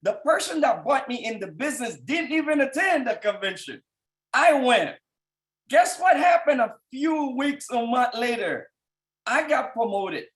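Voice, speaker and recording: A man's voice: very high-pitched, rough recording. A man in his thirties from Haiti with a thick Haitian accent, giving a fervent motivational talk to people on a call. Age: 30s. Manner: fervent, rising to shouts at peaks. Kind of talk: motivational speech.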